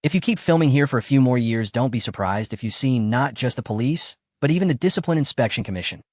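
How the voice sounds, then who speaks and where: A man's voice: medium pitch, lively intonation, fast, darkish tone, dry recording, clean studio sound, natural voice. A man voicing an irritated male film director who scolds his crew, in a story reading. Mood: scolding.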